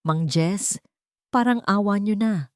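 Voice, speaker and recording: A woman's voice: medium pitch, takes it slowly, darkish tone, smooth, no echo, clean studio sound, pitched above the performer's own voice, natural voice. A woman voicing a heartbroken, weary young woman with a sorrowful, pleading manner in an audio love story.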